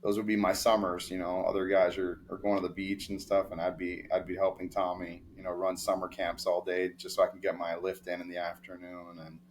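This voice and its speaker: A young man with a deep, fairly flat voice tells stories in a loose, rambling way, full of fillers and restarts. He sounds like a sports agent chatting as an interview guest. A faint hiss sits under the recording. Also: a little fast, few pauses. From New York, of Dominican family. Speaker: baseball player agent, guest. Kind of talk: casual interview storytelling.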